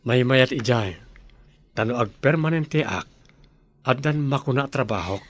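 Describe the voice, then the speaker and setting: A man's voice: mid-range voice, lively intonation, unhurried. A man playing an earnest, devoted young village man in an Ilocano comedy radio drama, with an Ilocano Filipino accent. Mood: affectionate. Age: young adult.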